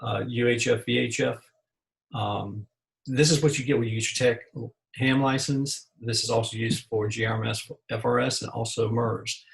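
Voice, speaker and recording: An American man presents a lesson in a plain, step-by-step way. His voice has a gravelly fry to it, at an ordinary male pitch. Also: steady tone, moderate pace, low-quality recording. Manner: plain, methodical explaining. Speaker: amateur radio presenter.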